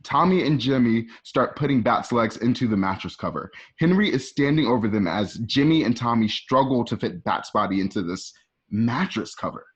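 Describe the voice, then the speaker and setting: A man's voice: medium pitch, lively intonation, moderate pace. A man narrating an amateur radio play, reading out screenplay stage directions. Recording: dull lo-fi home recording.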